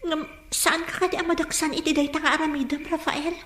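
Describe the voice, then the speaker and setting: A woman's voice: high-pitched, flat delivery, quick pace, a bit bright, a little hiss or hum. A woman playing a bandit's anxious young sweetheart in a radio drama, with a questioning delivery. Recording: echoey room.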